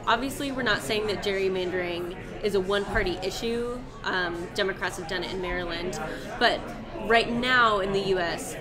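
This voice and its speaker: A woman in her twenties co-hosting a live election night stream, explaining politics in a relaxed, chatty way. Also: medium pitch, normal speed, over music. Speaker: co-host. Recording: noisy room with crowd chatter.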